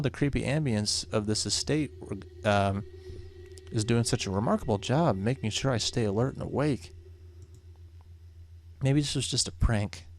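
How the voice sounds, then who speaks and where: A man's voice: medium pitch, vocal fry. A man voicing a nervous, superstitious young real estate agent in a horror visual novel, with dramatic, exasperated delivery.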